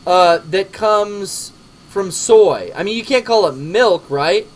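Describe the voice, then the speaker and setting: A man's voice: high-pitched, expressive, takes it slowly. A young man hosting a call-in stream with casual, mock-earnest banter. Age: young adult. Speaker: livestream host. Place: small cluttered office, indoors.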